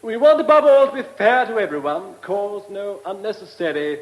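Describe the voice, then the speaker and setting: An actor playing an officious, patronising ministry bureaucrat in a radio play, pompous and halting. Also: male voice, sits high, animated, lo-fi sound. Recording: echoey hall in a radio drama.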